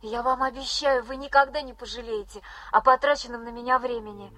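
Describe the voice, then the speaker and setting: A woman's voice: a little high, steady tone, brisk, bright, forceful tone. An actress playing an earnest, bookish young woman in a radio drama, speaking in formal, carefully turned phrases. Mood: formally grateful. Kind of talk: 1980s Soviet radio drama acting.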